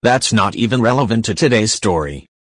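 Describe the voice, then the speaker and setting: A man acts out a serious male news anchor in a dramatized newscast. His pitch sits a little low, his delivery is formal and dismissive, and the sound is dry and close. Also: expressive, a little fast, no room sound. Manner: formal, presenterly. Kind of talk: amateur audio drama newscast.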